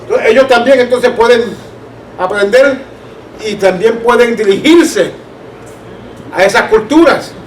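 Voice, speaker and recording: A man's voice: very high voice, unhurried, pauses often. A man with a Caribbean Spanish accent teaching an informal church Bible class, telling anecdotes conversationally and often checking that listeners follow. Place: church room. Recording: distant roomy mic, background noise.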